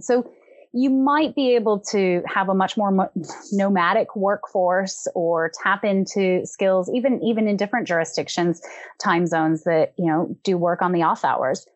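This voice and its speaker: A woman speaking as a podcast guest, a consultant who talks through her ideas in a reflective, measured way. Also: medium pitch, no room sound. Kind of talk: casual conversational discussion.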